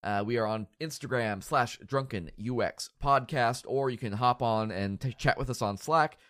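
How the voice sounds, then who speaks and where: A man's voice: mid-range voice, moderate pace, a bit bright, no room sound, studio quality. A man hosting a casual podcast, stuffy and nasal from a cold, rambling and joking at his own expense. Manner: rambling, self-deprecating, joking. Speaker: podcast host.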